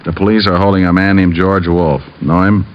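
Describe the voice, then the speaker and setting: An American actor playing a cool, wisecracking private detective in an old radio drama, dry, wry and casually menacing. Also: male voice, low voice, flat delivery, brisk, close to an everyday voice. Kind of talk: 1940s-50s radio drama acting and narration. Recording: dull old radio recording.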